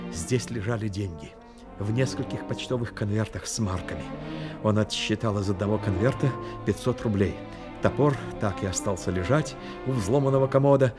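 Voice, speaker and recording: Male radio drama narrator over music. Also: mid-range voice.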